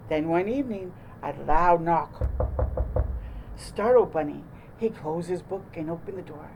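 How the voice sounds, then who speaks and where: A woman narrating a children's picture book aloud in an expressive, deliberate way. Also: on the low side, noisy background. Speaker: storytime host reading aloud.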